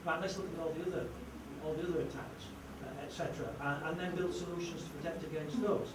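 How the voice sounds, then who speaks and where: A man's voice: a little high, fairly even intonation, quick pace. A man lecturing on security, emphatic, with punchy rhetorical questions. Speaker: conference speaker on security. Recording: echoey hall, distant mic, noticeable noise.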